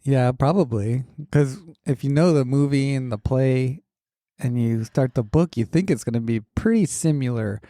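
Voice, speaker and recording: A middle-aged man co-hosting a casual podcast chat, teasing and joking in a deadpan way. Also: medium pitch, normal speed, no room sound, studio quality. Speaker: podcast co-host.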